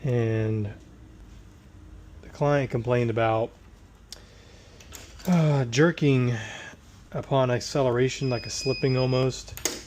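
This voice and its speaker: Hoarse man explaining a transmission rebuild. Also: medium pitch, steady tone, slow pace, long pauses, a little mellow, slight background noise. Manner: plain-spoken, working while talking. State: hoarse, recovering from tonsillitis. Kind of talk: casual shop walkthrough.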